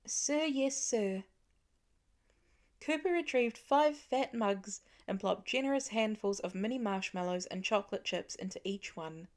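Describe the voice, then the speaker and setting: A woman's voice: medium pitch, normal speed, hardly any pauses. A woman reading scripted fiction as an audiobook narrator.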